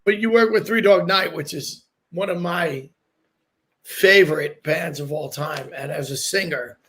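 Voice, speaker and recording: A man's voice: fairly high pitch, a bit bright. A man co-hosting a casual chat, asking questions like an enthusiastic fan.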